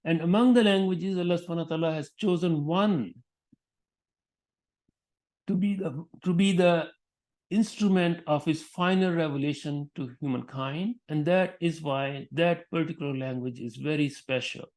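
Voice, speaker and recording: Man, somewhat high voice, unscripted education talk, halting delivery, frequent restarts, Arabic honorifics. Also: a little mellow. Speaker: Islamic education speaker.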